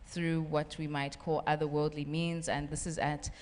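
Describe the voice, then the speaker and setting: Low-voiced chatty woman novelist. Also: little pitch movement, normal speed, a little mellow. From South Africa. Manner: chatty, laughs often, many "um" fillers. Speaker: novelist on a festival panel. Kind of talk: panel talk and reading aloud from a novel. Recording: reverberant festival hall.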